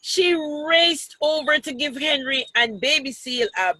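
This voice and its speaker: A woman reads a picture book aloud to children in a deliberate way, pausing to point things out and ask questions. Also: high-pitched, bright, forceful tone, no room sound, rough recording. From Jamaica. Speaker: storytime reader, teacher.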